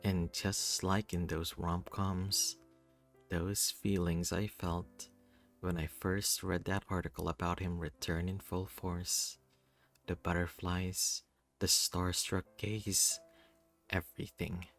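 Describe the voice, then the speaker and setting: A man reading a first-person visual novel aloud in an expressive, theatrical way. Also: low-pitched, slow. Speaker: reader voicing a visual novel. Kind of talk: dramatic first-person story narration. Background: music.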